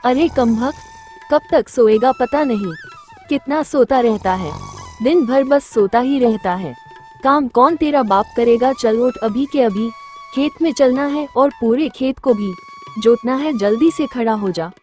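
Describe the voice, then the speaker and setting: A woman voicing a harsh, scolding village stepmother in a moral-story cartoon, with a sharp, threatening manner and music underneath. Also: sits high, measured pace.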